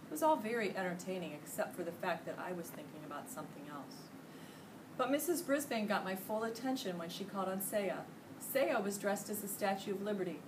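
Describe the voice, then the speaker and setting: A middle-aged woman with an American accent reads a children's story aloud with plenty of expression. She has a medium-pitched voice and sounds like a teacher in a classroom. The mic is some way off and the room noise is clearly audible. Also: animated, brisk, recorded from a distance. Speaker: teacher reading aloud to pupils. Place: classroom, indoors.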